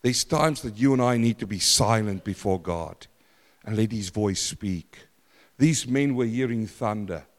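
A man preaching a sermon in a church, loud and emphatic, swinging from forceful declarations to hushed, quiet lines. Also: on the low side, unhurried. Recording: long hall reverb.

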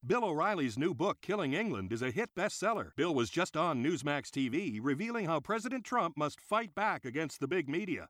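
A man's voice: a little high, dry recording, studio quality. A man reading a television ad in an urgent, salesy voice. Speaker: ad announcer. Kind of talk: scripted ad read.

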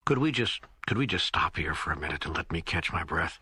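Earnest troubled seeker, raspy, sharp-edged, questioning, audiobook dialogue. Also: male voice, low voice, lively intonation, fairly quick, no echo, exaggerated voice. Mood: incredulous. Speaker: audiobook narrator. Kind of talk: scripted audiobook dialogue reading.

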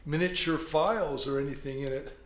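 A man acting an unhurried, mocking death-row prison guard in an audio drama. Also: fairly high pitch, natural voice. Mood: mocking, casual. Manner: unhurried, mocking, matter-of-fact. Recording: roomy echo.

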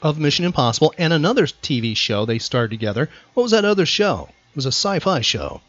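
A man's voice: on the high side, sing-song, fast, a bit bright, dry recording, dull audio. A man hosting a podcast trivia quiz, putting questions to a contestant. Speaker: podcast host and quizmaster.

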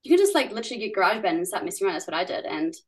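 Young woman, musician guest, quick chatty speech, slightly low voice, giggly. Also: very animated. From New Zealand. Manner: chatty, many "like" fillers, giggly. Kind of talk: casual interview chat.

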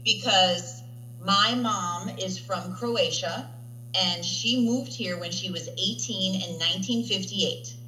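A chatty woman teacher with a bright, clear voice that keeps asking "right?" as she talks. She sits in an echoey room with a faint hum underneath. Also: medium pitch, takes it slowly. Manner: chatty, tags statements with "right?". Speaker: language teacher. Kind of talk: interview conversation.

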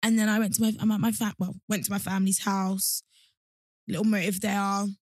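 A woman in her twenties with a hoarse throat, co-hosting a studio podcast, blunt and slangy with a lot of swearing. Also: mid-range voice, level tone, brisk, darkish tone, dry recording. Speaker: podcast co-host. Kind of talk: casual chat. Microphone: studio mic.

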